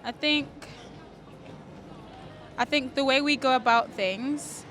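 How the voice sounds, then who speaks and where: A woman in her twenties giving casual opinions as an interviewee in hesitant, halting phrases. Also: high voice, fairly bright tone. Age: 20s. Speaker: street interviewee.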